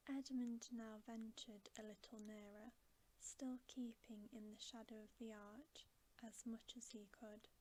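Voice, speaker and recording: A woman whispering a bedtime story in ASMR style, breathy, gentle and hushed. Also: on the high side, steady tone, unhurried, low-quality recording. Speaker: ASMR reader.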